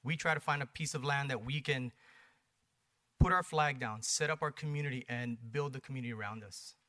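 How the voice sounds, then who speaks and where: An American man making his case at a public meeting. His voice sits at a middle pitch with a bright, forceful edge, and he argues in short, emphatic phrases, often putting questions to his listeners. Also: a little fast. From Hawaii, United States. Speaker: presenter for a paddling community. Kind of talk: persuasive public presentation. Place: planning commission meeting.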